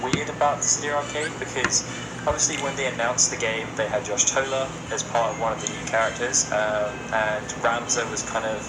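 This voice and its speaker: Man, medium pitch, casual podcast talk, very loud background noise. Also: fairly even intonation, a little fast. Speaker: podcast host. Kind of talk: casual podcast discussion of games.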